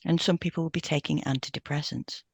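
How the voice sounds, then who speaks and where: A woman co-hosting a health podcast, reading scripted material in a measured, explanatory way and carefully sounding out technical terms. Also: deep voice, fairly even intonation, creaky, breathy edge, dry recording, studio quality. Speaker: podcast co-host and hypnotherapist. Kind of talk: scripted educational narration.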